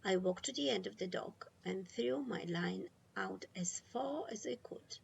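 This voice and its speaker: A woman reading a children's picture book aloud as an expressive storytime narrator. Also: mid-range voice, measured pace, highs cut off. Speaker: storytime reader. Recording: clear background noise.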